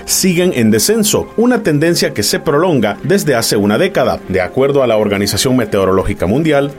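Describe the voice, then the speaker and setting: A male news reporter reads a scripted report in a measured newsreader style. His pitch moves a lot as he stresses key figures, and there is a faint music bed behind him.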